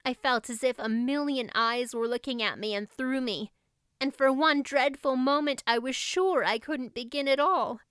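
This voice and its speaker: A dreamy, romantic young girl played by a woman narrator in a storybook reading. Her voice is high and clear, gushing with breathless drama, and it can turn lofty. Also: normal speed, a bit bright, no room sound, studio quality. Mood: excited, gushing. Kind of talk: audiobook character voice.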